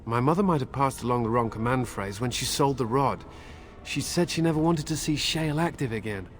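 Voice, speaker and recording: An actor voicing an earnest, anxious village father with a bitter streak and a halting, pleading manner, in a fantasy video game. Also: mid-range voice, lively intonation, close to an everyday voice. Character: grieving, anxious village man, bitter son of a dead mage. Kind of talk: dramatic video game acting.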